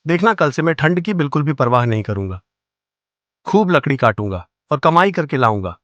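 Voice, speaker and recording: A man playing a high-voiced poor woodcutter. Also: very animated, a little fast, fairly bright tone, no echo, highs cut off. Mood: determined, comforting. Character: poor, weary, kind woodcutter, family man. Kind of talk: cartoon moral story dialogue.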